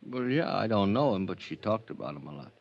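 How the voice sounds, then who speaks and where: A wounded, defensive ex-husband in an old radio play. His low voice is halting and plaintive, full of grief. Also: very animated, gravelly, highs cut off, slight background noise. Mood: subdued, defensive. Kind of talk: 1950s radio drama acting.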